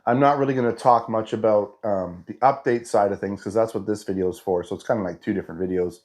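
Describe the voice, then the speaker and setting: A man's voice: fairly low pitch, quick pace, darkish tone. A man in his fifties with a head cold, his voice stuffed up and nasal, chatting casually in a fitness update vlog with lots of restarts. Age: 50s. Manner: chatty and rambling, with frequent restarts and "you know". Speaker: fitness vlogger.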